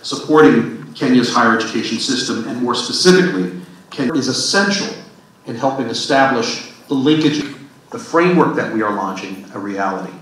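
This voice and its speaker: A man's voice: medium pitch, fairly bright tone. A man giving a formal speech at a university partnership launch, reading prepared remarks with a few restarts. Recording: echoey hall from far off, faint noise.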